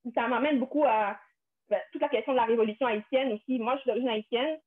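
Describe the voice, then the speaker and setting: A woman's voice: a little high, fairly even intonation, fast talker. A young woman from Quebec with a Québécois accent, speaking earnestly on a panel, restarting and repeating words often. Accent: Québécois French. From Canada, Quebec. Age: young adult. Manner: earnest, many restarts and repetitions. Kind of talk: panel discussion, personal testimony. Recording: tinny phone-like line.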